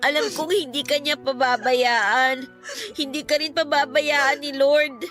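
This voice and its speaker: A woman acting a gentle, sorrowful younger sister who consoles others in a Filipino radio drama, with an earnest manner. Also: sits high, fairly even intonation, unhurried, soft background music. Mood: tearful, consoling.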